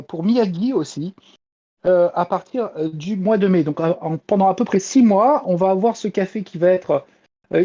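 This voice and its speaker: A man's voice: high-pitched, dark tone, dull audio. A man chatting casually as a panelist reporting news on a talk show, hesitant and searching for words. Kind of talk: casual chat.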